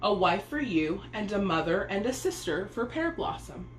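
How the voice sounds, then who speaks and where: Lady reading as a storybook matchmaker, persuasive sales pitch, echoey room. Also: big pitch swings, moderate pace, natural voice. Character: persuasive village matchmaker woman. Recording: roomy echo, faint noise.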